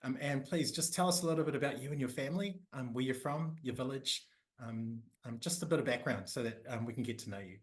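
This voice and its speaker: A man's voice: medium pitch, quick pace. Upbeat man co-hosting a podcast. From New Zealand. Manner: upbeat greetings, many fillers. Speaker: co-host.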